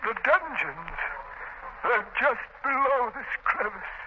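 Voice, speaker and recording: A broken prisoner in an extremely high, strained voice. His delivery is slow, halting and full of melodramatic despair. It comes from a scratchy old radio serial. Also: sing-song, heavily acted. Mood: bleak. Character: fearful, despairing, broken captive of a dungeon island. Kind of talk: 1930s radio drama acting. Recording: scratchy muffled 1930s radio recording.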